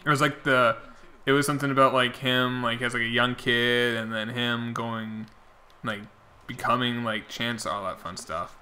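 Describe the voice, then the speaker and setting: A man's voice: mid-range voice, fairly even intonation, normal speed, sharp tone. A young man streaming a baseball video game, rambling casually and answering his chat viewers, his voice getting worn out. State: losing his voice. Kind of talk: casual chat while playing.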